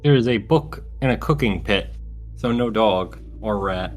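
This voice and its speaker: A man's voice: lowish voice, measured pace, faint music behind. A man talking casually as a player in a tabletop roleplaying game.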